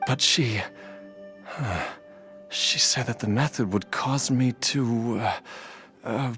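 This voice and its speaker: A man's voice: medium pitch, slow, pushed and bright, soft background music. A male actor playing a troubled, earnest young man with lost memories in a video game. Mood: halting recall.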